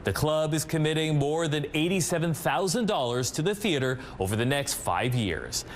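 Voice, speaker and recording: A male Canadian news anchor reads from a script in a fairly high voice with very animated intonation. A faint rumble of noise sits under the studio delivery. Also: normal speed.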